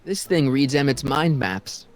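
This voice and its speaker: A man's voice: mid-range voice, lively intonation, unhurried, natural voice. An actor playing a skeptical, casual teenage boy who keeps asking incredulous questions in a video game. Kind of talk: animated video game dialogue.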